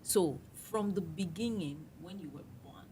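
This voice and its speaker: A woman's voice: fairly low pitch, a little slow, background noise. A woman coach hosting an interview, enthusiastic and affirming, often murmuring agreement. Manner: enthusiastic, affirming with frequent "mm" and "yes". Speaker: host and coach.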